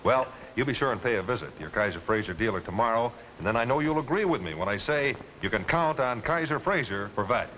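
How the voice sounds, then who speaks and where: An American man giving a folksy, chatty 1950s television ad pitch as an announcer, speaking directly to viewers. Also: lively intonation, fast talker, few pauses, a bit bright, rough recording. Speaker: commercial announcer. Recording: hissy old 1950s TV soundtrack.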